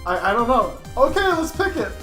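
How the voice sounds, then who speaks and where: A woman's voice: fairly high pitch, big pitch swings, a little fast, a bit bright. Teasing woman in a roomy recording. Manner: teasing, sarcastic. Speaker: let's play co-host. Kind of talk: casual joking game commentary. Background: game music.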